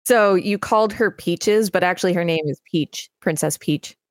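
A woman's voice: fairly low pitch, normal speed, dry recording, studio quality. A woman co-hosting a podcast, reading encyclopedia text aloud with conversational asides. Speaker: podcast co-host. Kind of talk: reading encyclopedia pages aloud with casual commentary.

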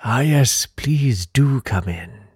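A man's voice: medium pitch, expressive, slightly rough, no echo, studio quality, full character voice. Man putting on a languid, camp old vampire count. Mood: languid, courteous. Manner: slow, drawn-out, courteous delivery.

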